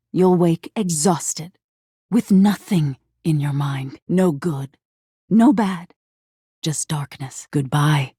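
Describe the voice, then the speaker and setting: A woman playing a living nightmare spirit in a video game, seductive and eerie, with a breathy, intimate delivery and a wounded, menacing edge. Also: dark tone, no echo, clean studio sound. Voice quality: breathy, husky, low. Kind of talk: video game character acting.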